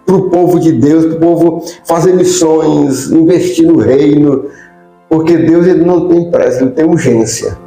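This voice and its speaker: A man's voice: on the high side, unhurried, warm tone. A Brazilian man hosting a conversational religious interview, agreeable and often seeking his guest's agreement. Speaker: interview host, bishop. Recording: echoey room, faint music.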